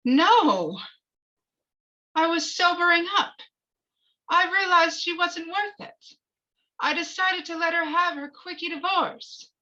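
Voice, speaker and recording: A woman playing a bitter, measured man testifying at his murder trial in a dramatic screenplay reading, on a lo-fi recording. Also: very high-pitched, lively intonation, bright, forceful tone, voice pushed up, full character voice. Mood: firm, defensive. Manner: measured, defensive. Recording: dull, lo-fi recording.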